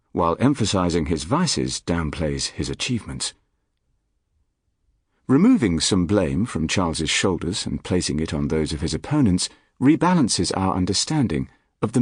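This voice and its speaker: A man reading a nonfiction audiobook in a measured, articulate, formal manner. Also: deep voice, slightly rough, dry recording, clean studio sound. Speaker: audiobook narrator. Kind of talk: scripted nonfiction audiobook narration. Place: dry recording studio.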